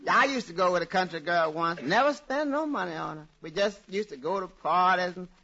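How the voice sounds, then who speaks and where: Casting: sly, folksy young sidekick for vintage radio comedy, high and bright. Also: male voice, expressive, fairly quick, heavily acted. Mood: dry, folksy. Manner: colloquial, ungrammatical. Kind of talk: 1940s radio comedy acting. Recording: muffled old radio recording.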